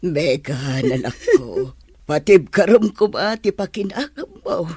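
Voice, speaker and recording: A woman playing a protective, indignant older mother in an audio drama, with drawn-out, emphatic delivery. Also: mid-range voice, big pitch swings, a little mellow, breathy edge, no room sound, clean studio sound, exaggerated voice. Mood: consoling, indignant. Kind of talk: Ilocano audio drama acting.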